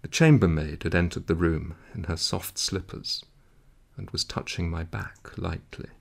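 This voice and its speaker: A man reading a literary story aloud in a measured, dramatic way, with a gravelly, husky voice. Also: low voice. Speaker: audiobook reader.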